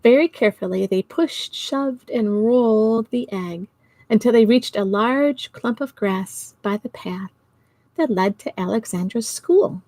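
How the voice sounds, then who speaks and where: A middle-aged or older woman reading a storybook to children, expressive and giggly, pausing to chat with her listeners. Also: fairly high pitch, no echo. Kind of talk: storytime read-aloud for children. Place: small indoor home office.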